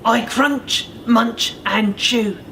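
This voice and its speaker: A man's voice: extremely high pitch, drawn-out words, full character voice. Man acting a little storybook monster, male, stubborn, roaring, grumbly, emphatic, slight background noise. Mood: defiant, grumbling.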